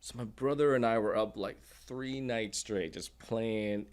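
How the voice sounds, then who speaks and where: A man's voice: fairly low pitch, measured pace. A middle-aged man from Taiwan and the United States, talking casually and informally as a guest. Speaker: podcast guest, endodontist. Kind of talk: casual conversational storytelling.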